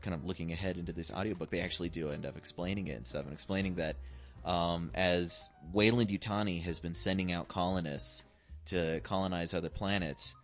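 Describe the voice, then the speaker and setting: A man's voice: low-pitched, fairly even intonation, a little fast, hardly any pauses, soft background music. A man co-hosting a podcast, explaining things casually and a little hesitantly, often repeating his words as he goes. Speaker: podcast co-host. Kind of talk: casual movie discussion.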